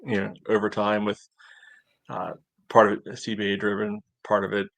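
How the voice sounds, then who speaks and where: Flat-toned, low-voiced male sports podcaster. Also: gravelly, no room sound. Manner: halting, thinking aloud, heavy on "you know". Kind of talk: casual sports analysis chat.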